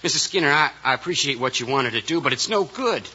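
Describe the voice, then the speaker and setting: A bright-voiced, defensive young cowboy. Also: male voice, medium pitch, expressive, quick pace. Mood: hurt, protesting. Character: defensive, bitter young cowboy accused of a crime. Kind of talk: 1950s radio western acting. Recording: dull old radio recording with hiss.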